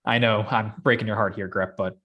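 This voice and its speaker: A man's voice: lowish voice, steady tone, brisk, muffled recording. A man in a casual, rambling, opinionated chat about films and games, as a podcast host.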